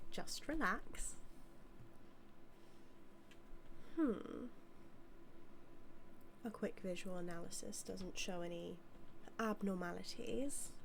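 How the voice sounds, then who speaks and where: A woman's voice: medium pitch, slight background noise. A woman playing a motherly mad scientist villain with a British accent, speaking fondly and theatrically to her monster in an intimate audio roleplay. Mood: fussing, fond. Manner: fond, theatrical, musing, softly dipping into breathy asides. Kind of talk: scripted roleplay audio, intimate monologue to listener.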